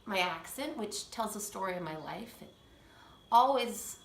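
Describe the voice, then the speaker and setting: A woman's voice: mid-range voice, expressive, measured pace, gravelly, slight background noise. A woman in her thirties, a language coach, speaking her mind earnestly in a personal vlog and restarting as she goes. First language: English. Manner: earnest, talks through restarts. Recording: echoey room, faint ambience.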